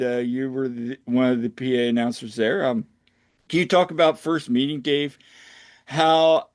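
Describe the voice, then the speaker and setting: A man's voice: mid-range voice, no echo, studio quality. A man from Pennsylvania with an American accent, interviewing casually and conversationally as a podcast host. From United States, Pennsylvania.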